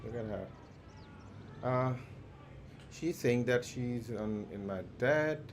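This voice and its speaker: A man hosting a game livestream with casual commentary, halting and repeating himself as he thinks aloud. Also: mid-range voice, slight background noise. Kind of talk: casual live gameplay commentary. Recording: music behind the voice. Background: clear background music.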